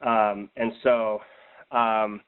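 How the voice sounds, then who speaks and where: A man's voice: lowish voice, flat delivery, very slow, clear, resonant tone. A man with an American accent explaining plans in a confident, informal way during a casual phone conversation. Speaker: political organizer explaining a plan. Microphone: telephone. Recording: tinny recorded phone call.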